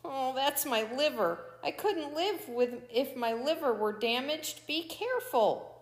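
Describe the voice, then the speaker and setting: A woman's voice: extremely high pitch, huge pitch swings, a little slow, darkish tone, in a large hall, pitched above the performer's own voice, heavily acted. A woman voicing an arrogant, bullying coyote in a folk tale, with a demanding, exclamatory manner that can tip into frantic pleading.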